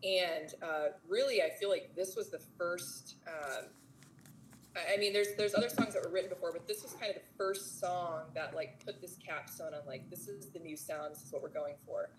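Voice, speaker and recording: A woman's voice: on the low side, fairly even intonation, moderate pace, slight background noise, poor audio. A woman musician being interviewed, chatty, with many fillers. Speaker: musician guest. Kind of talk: casual interview chat.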